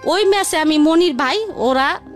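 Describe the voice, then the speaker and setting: Woman, high voice, rambling personal storytelling, repetitive phrasing, faint music behind. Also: animated, measured pace. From Barisal region, Bangladesh. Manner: rambling, repetitive, colloquial narration. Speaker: interview guest telling life story.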